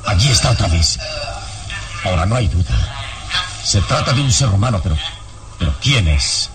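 Low-voiced, commanding radio adventure hero. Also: male voice, animated, very noisy. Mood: tense, watchful. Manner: deliberate, solemn, dramatic delivery. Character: brave, commanding male adventure hero, calm and protective. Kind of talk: vintage radio serial acting. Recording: radio serial, distant, music behind.

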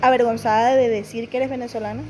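A woman interviewing, asking gentle, probing questions with hesitant fillers. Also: on the high side, level tone, quick pace, slight background noise.

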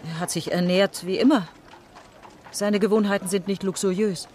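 A woman's voice: medium pitch, fairly even intonation, normal speed, a little mellow, a little hiss or hum, lightly acted. An actress playing a composed aristocratic Frenchwoman, a devoted daughter, speaking formally and with measure in a German radio crime drama. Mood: grave, controlled concern.

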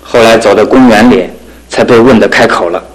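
An actor playing an earnest young suitor in a radio drama, coaxing and sometimes hesitant. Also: male voice, on the low side, brisk, heavy background noise, close to an everyday voice. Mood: recounting. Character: earnest, pleading young suitor, gently self-satisfied.

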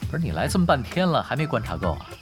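A laid-back, scheming male gamer in an audio drama. His mid-pitched voice swings freely with dry, nonchalant teasing, over clearly audible background music. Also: big pitch swings, racing. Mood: wry, relaxed. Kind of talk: Mandarin audio drama acting, conversational banter. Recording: studio drama with music underneath.

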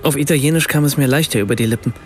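A man's voice: medium pitch, very fast, faint music behind, lightly acted. A man narrating as a wry German writer. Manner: ironic, reflective. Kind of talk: scripted radio drama narration.

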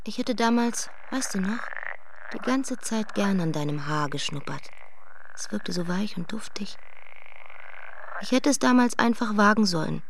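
An imperious young queen in a radio drama, with a mid-pitched voice. She is proud and evasive and declaims her words with emphasis. Some noise sits behind the voice. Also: animated, unhurried, gravelly, long pauses. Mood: wistful. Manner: declamatory, emphatic, poetic phrasing. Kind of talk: German radio play acting.

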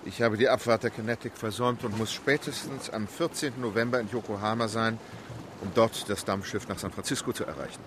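An actor playing a composed, precise English gentleman in a radio play, speaking in a calm, clipped and formal way. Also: fairly low pitch, a little fast, noisy background, lightly acted.

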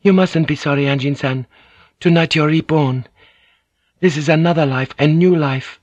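A man voicing a gentle, proud young Japanese noblewoman, speaking softly and formally. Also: fairly high pitch, steady tone, moderate pace, dry recording, highs cut off, voice pushed up, close to an everyday voice. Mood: gentle, earnest. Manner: soft and formal.

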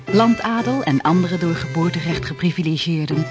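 An actor narrating a historical radio play in a formal, measured, declamatory way. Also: male voice, on the high side, natural voice. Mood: stately. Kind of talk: scripted narration. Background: music.

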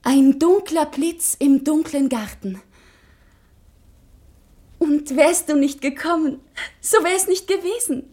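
High-voiced, earnest schoolgirl. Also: mellow. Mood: intense. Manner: earnest, poetic, halting delivery. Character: anxious schoolgirl daughter Fernanda, intense and confiding. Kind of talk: literary radio play acting.

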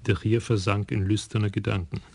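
A male narrator tells the story of a radio crime drama in a low, level, gravelly voice. The delivery stays understated, and a steady broadcast hiss runs underneath it. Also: moderate pace.

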